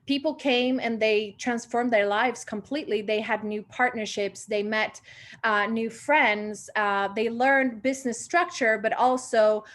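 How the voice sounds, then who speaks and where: A woman in her late twenties from Sweden, a confidence coach talking on a group video call, a little short of breath and pausing for air. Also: a little high, steady tone, a bit bright. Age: 20s.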